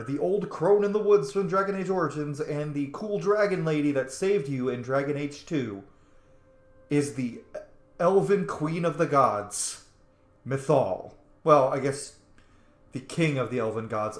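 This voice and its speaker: A man's voice: fairly high pitch, lively intonation, a little slow. Casual male gamer in an echoey room. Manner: informal, sweary. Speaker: streamer playing a roleplay video game. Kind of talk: casual game commentary.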